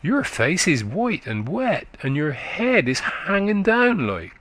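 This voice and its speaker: A man's voice: on the high side, huge pitch swings, takes it slowly, fairly bright tone, no room sound, pitched above the performer's own voice, full character voice. A man voicing a small chattering country boy who speaks in rustic dialect, piping and childlike. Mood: curious.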